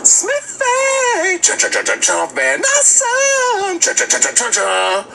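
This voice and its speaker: A man, a podcast co-host, explaining a theme-song demo and belting falsetto rock shrieks. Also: huge pitch swings, slow, creaky, music in the background. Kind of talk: playing back a recorded song demo.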